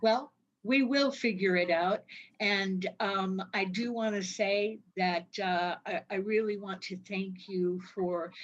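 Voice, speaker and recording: A woman hosting a conversational interview over a video call, speaking with pauses as she frames her questions. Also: mid-range voice, muffled recording. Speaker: host.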